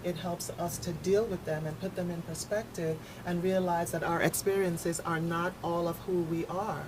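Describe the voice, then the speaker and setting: A woman with a fairly low voice explains things on a discussion panel. Her delivery is measured, earnest and articulate, and there is clear noise in the background. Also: steady tone.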